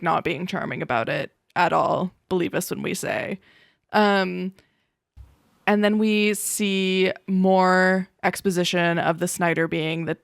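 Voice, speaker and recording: Creaky, lowish-voiced woman chatting casually. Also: no room sound, studio quality. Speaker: podcast co-host. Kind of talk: casual TV recap chat.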